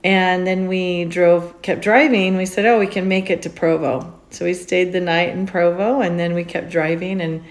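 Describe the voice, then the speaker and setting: A woman hosting a casual podcast, chatty and upbeat, with lively emphasis as she interviews a guest. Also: on the low side, normal speed, hardly any pauses. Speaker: podcast host. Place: indoors on a road trip in Utah mountains.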